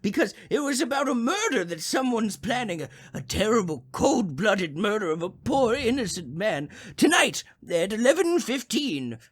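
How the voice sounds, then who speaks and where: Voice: medium pitch, very animated, moderate pace, few pauses, slightly rough. An actor playing a crotchety, self-important old man in a 1940s-style suspense radio drama, fussy and indignant, stammering as he makes demands. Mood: anxious, urgent. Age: older.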